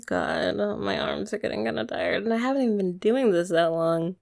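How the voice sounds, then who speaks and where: Playful woman with a gravelly edge. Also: mid-range voice, normal speed. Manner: soft, playful and teasing, talking to the listener. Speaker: ASMR creator. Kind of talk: ASMR girlfriend roleplay.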